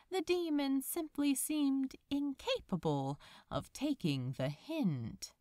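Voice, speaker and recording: A woman narrates a story in a fairly high voice, unhurried and measured. Her delivery is expressive, with very lively intonation. It is a scripted reading, recorded close and dry. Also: no room sound. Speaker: podfic narrator. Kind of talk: scripted fiction narration, read aloud.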